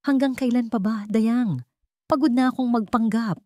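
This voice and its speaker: A woman's voice: a little high, normal speed, mellow, no room sound, studio quality, voice pushed up, natural voice. Woman voicing a cold, impatient husband. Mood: irritated. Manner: curt, dismissive.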